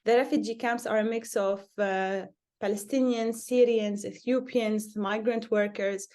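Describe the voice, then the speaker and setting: A young woman from Lebanon, a social worker, answering interview questions in an earnest way, with many hesitant "uh" pauses. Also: mid-range voice, steady tone, warm tone.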